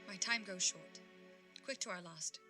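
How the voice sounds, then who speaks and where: A woman's voice: lowish voice, unhurried, music in the background, close to an everyday voice. A woman acting as a stern, probing female spirit in an audio drama. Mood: probing, urgent. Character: stern Ghost of Christmas Past, female spirit.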